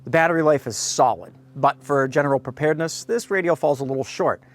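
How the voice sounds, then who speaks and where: A man's voice: on the high side, quick pace. An American man hosting a product review, speaking to viewers in a blunt, opinionated way. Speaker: host of a radio review.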